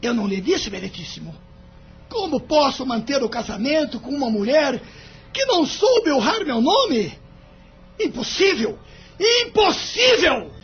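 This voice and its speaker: Actor as a wounded, bitter husband, very high male voice, wide swoops, melodramatic, audio drama. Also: very animated, a little slow, exaggerated voice. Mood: outraged. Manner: melodramatic, aggrieved. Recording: dull lo-fi audio with background noise.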